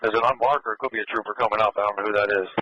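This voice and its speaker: A man's voice: fairly low pitch, fairly even intonation, rapid-fire, sharp tone, slight background noise. A man calling in terse location updates over police radio, with hesitations as he goes. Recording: muffled scanner radio audio.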